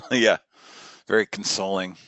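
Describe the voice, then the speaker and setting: A man's voice: fairly low pitch, takes it slowly, fairly bright tone, dry recording. A man giving casual, conversational reaction commentary as he watches along.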